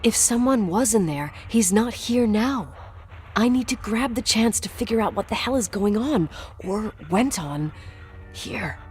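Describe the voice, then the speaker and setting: A woman's voice: fairly high pitch, lively intonation, unhurried, a little mellow, soft background music. An actress playing a strong-willed, defiant young woman in a video game, with an intense, emphatic, sweary delivery. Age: young adult. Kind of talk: naturalistic video game voice acting.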